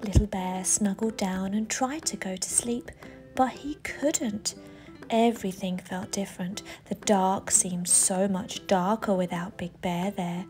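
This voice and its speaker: A woman storyteller reading a children's bedtime story aloud, expressive, with dramatic emphasis. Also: medium pitch, measured pace, soft background music. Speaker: storyteller, channel host.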